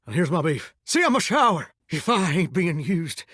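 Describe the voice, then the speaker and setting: A flirtatious, wistful talking shower from a video game. He drawls in a folksy Southern US accent, somewhat high in pitch, with big theatrical swings of intonation. The sound is dry and close, with no room on it. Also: big pitch swings, normal speed, a bit bright, husky, no echo, exaggerated voice. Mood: aggrieved, dramatic. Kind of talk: video game character acting.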